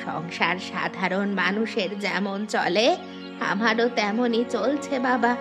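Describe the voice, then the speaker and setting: A woman's voice: mid-range voice, takes it slowly, lightly acted. A woman voicing a hospitable, plain-spoken old village mother. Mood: calm, frank. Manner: homely, welcoming. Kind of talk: moral-story cartoon dubbing. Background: music.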